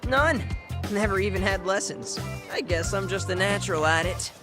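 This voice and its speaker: High-voiced cocky American teenage boy. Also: animated, moderate pace, music in the background. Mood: smug. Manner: nonchalant, cheeky. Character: cocky, laid-back teenage boy, streetwise and cheeky. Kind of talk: video game dub acting.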